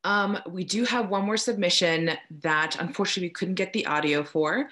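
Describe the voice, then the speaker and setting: A woman's voice: lowish voice, brisk, fairly bright tone. A woman hosting a poetry event and reading aloud.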